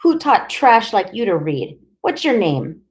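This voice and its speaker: A woman's voice: medium pitch, big pitch swings, pitched above the performer's own voice. A woman voicing a cruel, contemptuous man in a suit who fires sneering questions. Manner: sneering, rapid-fire questioning.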